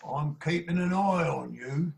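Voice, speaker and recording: A man playing a gruff, dim, stolid hired keeper with rough colloquial speech in an amateur comic radio play. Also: fairly high pitch, unhurried, darkish tone. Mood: stolid, surly. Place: home, recorded over a video call.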